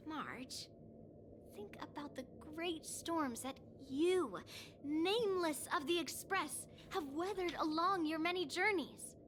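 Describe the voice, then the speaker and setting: A woman's voice: extremely high pitch, expressive, takes it slowly, a bit bright, slight background noise, full character voice. An actress playing a confident, teasing young swordswoman in an anime-style game, theatrical and slightly formal in her encouragement. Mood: proud encouragement.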